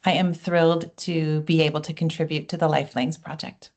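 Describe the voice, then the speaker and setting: A woman from the United States, a lecturer, reading a measured, formal self-introduction at an online launch. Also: deep voice, level tone, darkish tone.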